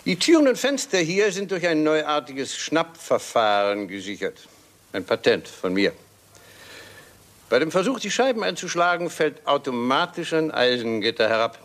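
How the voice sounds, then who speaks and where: Stern, lively-voiced old lord. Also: male voice, fairly high pitch. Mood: grave, controlled. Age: older. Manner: deliberate, authoritative. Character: stern, commanding old English lord and inventor. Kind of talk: German radio crime play acting.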